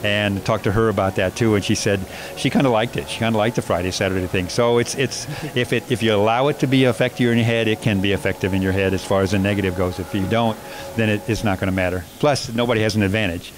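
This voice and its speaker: A man coaching basketball, answering postgame interview questions in a measured way with many fillers and restarts. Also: fairly low pitch, steady tone, crowd noise. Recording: noisy background.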